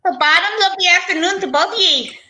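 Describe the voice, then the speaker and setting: Blustery Irish car salesman for radio comedy, woman performer, sharp and very bright, on a noisy mic. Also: extremely high pitch, brisk, full character voice. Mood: blustery, chatty. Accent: stage Irish. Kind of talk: old-time radio comedy acting.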